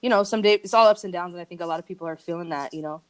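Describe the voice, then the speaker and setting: A woman's voice: lowish voice, rapid-fire. A woman from Argentina and the United States speaking as a podcast guest, telling personal stories in a casual, candid, slangy way full of likes and you-knows. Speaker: podcast guest, creative working from home.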